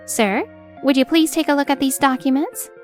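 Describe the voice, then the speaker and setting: A woman playing a composed, steely attorney in a manga dub, speaking formally and with measured assertiveness. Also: high voice, soft background music, lightly acted. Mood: formal, firm. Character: composed, steely female attorney confronting a husband. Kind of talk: acted manga dub dialogue.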